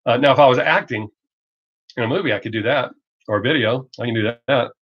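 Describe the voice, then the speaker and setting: Middle-aged male streamer, American Southern drawl, blunt off-the-cuff chat with some swearing, mid-pitched voice, slightly muffled lo-fi audio. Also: slightly rough. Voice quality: Southern drawl masked by fast talk. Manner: blunt, rambling, profane, joking. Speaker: reaction video creator hosting a live stream. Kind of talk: casual livestream chat, off the cuff. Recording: dull lo-fi livestream audio.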